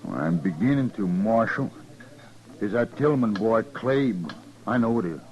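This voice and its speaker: A man's voice: lowish voice, lively intonation, a little slow, darkish tone. An American man playing a stubborn, vengeful rural man in a 1950s radio western, with a folksy drawl. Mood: guarded. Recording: dull old radio recording.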